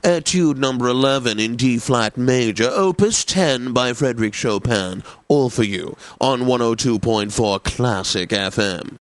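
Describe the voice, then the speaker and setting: An actor playing a pompous, snobbish classical radio DJ in a video game, theatrical and jovial, with flowery diction. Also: male voice, mid-range voice, expressive, a little slow, hardly any pauses, dry recording, natural voice. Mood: smug, pleased.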